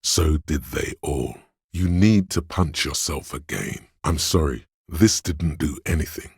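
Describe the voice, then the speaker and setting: A man voicing a menacing, darkly poetic inner voice of pain in a video game, narrating in a brooding, deliberate and goading way. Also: extremely low pitch, gravelly, darkish tone, slightly rough, no echo, clean studio sound, full character voice. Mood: flat, prodding. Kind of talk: dramatic second-person game narration.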